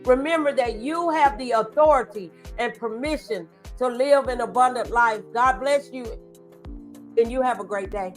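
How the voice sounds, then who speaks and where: High-pitched woman hosting inspirational talk. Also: moderate pace, soft background music. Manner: exhorting, conversational. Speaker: talk show host.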